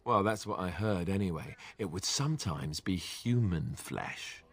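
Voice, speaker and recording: A man's voice: low-pitched, animated, measured pace, a bit bright, exaggerated voice. An actor playing a wry, sarcastic young male warrior in a fantasy video game. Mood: wry teasing.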